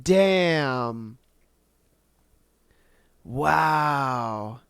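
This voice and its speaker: A man's voice: medium pitch, animated, extremely slow, fairly bright tone, smooth, no echo. A man hosting a podcast, still recovering from an illness, with a slightly nasal voice and loose, slangy, profane reactions. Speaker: podcast host. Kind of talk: casual commentary on read-out posts.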